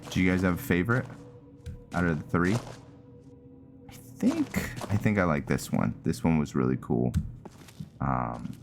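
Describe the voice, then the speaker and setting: A man's voice: low-pitched, very animated, a little slow, creaky, long pauses, music in the background. A man giving casual, conversational let's-play commentary, musing aloud as he plays. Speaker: gaming streamer, host.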